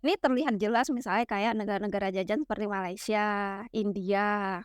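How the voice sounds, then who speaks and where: A young woman from West Sumatra explaining ideas in a relaxed, teacherly chat, full of fillers and tag questions. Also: medium pitch, brisk, clear, resonant tone, no echo, clean studio sound. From West Sumatra, Minangkabau. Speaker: guest explaining literary theory.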